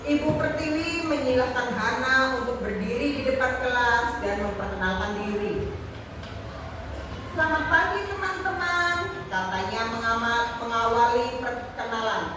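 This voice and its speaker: A woman reading a children's story aloud, slowly and deliberately, with expressive phrasing. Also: a little high. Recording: echoey hall, distant mic, noisy.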